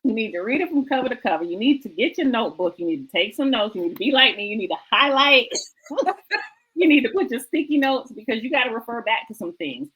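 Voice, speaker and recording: A woman's voice: on the high side, lively intonation, quick pace, fairly bright tone, husky, low-quality recording. A woman hosting a book talk show, speaking conversationally and enthusiastically in an emphatic, exhorting way that repeats phrases for effect.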